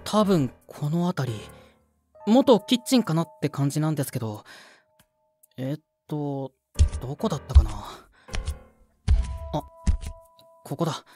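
A man's voice: fairly high pitch, expressive, unhurried, long pauses, warm tone. A young man playing a timid, earnest junior sound worker in an audio drama, polite, hesitant and easily flustered. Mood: earnest, tentative. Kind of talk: audio drama acting with inner monologue. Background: background music.

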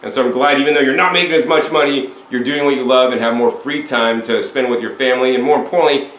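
A man in his forties runs a casual interview, talking at length in a mid-pitched voice. The room rings around him, and a faint hiss sits underneath. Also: quick pace, fairly bright tone, reverberant, poor audio. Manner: talkative, explains at length. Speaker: interviewer, show host. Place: home room with window, indoors. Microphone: lapel mic.

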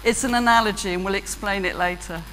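A woman's voice: mid-range voice, fast. A British woman giving a chatty, self-deprecating keynote talk on stage with a handheld mic. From Britain. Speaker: keynote speaker. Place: large auditorium stage with audience. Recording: long hall reverb, faint hum.

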